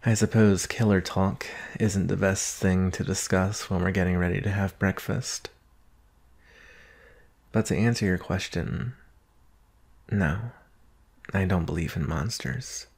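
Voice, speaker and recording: A low-voiced boyfriend in an intimate ASMR roleplay, played by a man, with a creaky edge and an unhurried pace. He is soft-spoken and affectionate, teasing gently and thinking things through aloud with long pauses. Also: exaggerated voice. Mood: soft, curious. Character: doting boyfriend, soft-spoken, teasing, analytical former forensics student.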